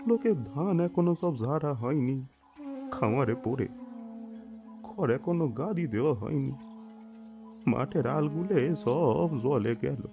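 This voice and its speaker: A sick, poor peasant father with a rural Bengali accent. He speaks very slowly in a rather high, plaintive voice, meek and halting as he pleads. It is an audio drama with music behind. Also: dull audio. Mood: weary pleading. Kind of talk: audio story drama acting.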